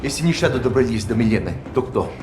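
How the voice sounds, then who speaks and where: An actor playing an eager, loyal Russian militant lieutenant in a video game cinematic, urgent and obedient. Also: male voice, mid-range voice, expressive, rapid-fire, close to an everyday voice. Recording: loud music over voice.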